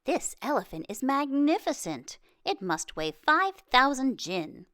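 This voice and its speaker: A woman voicing an excitable, boastful court advisor in a storybook, speaking in an emphatic, declamatory way. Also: high voice, measured pace, pitched above the performer's own voice, full character voice. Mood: impressed. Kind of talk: storybook read-aloud.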